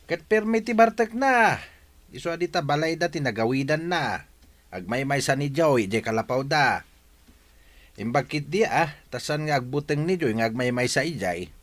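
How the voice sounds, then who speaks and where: Man acting a gossipy neighbour with animated delivery. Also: a little high, normal speed, natural voice. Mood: gossipy, disapproving. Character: gossipy, sympathetic male neighbour. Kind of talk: Ilocano radio-style audio drama acting.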